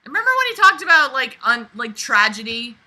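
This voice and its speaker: A woman reading aloud and commenting with exasperated, animated, emphatic reactions that swing dramatically in intensity. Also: a little high, a little fast.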